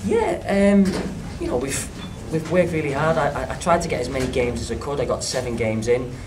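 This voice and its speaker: A man speaking as a football manager in a post-match press interview, frank and halting with many restarts. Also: medium pitch, lively intonation, distant mic, background noise. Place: small clubhouse room with reporters. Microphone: handheld phones and recorders held up by reporters.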